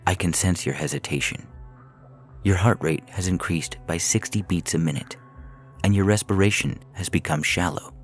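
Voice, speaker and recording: A man reading audio fiction as a calculating artificial intelligence, coolly persuasive and menacing, speaking in a measured, clinical, formal way. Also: low voice, faint music behind, close to an everyday voice. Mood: calm menace. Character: calculating prison AI, four years old, coolly persuasive, menacing.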